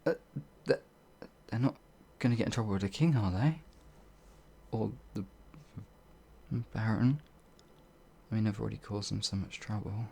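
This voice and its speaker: A man's voice: fairly low pitch, normal speed, gravelly, long pauses, a little mellow, slightly rough. A man playing a timid, apologetic werewolf farmhand in an audio roleplay, hesitant and rambling, stammering as he goes. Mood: panicked, apologetic. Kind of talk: ASMR audio roleplay monologue.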